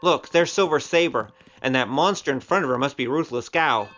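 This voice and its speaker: A man's voice: mid-range voice, a little fast, fairly bright tone, dull audio, lightly acted. A man playing an easygoing, teasing gambler hero with a flippant manner in an audio drama. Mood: earnest, determined.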